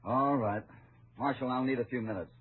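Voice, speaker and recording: An actor playing a calm, steady cowboy hero in an old-time radio western. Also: male voice, mid-range voice, moderate pace, slightly rough, close to an everyday voice. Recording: tinny, noisy vintage radio recording.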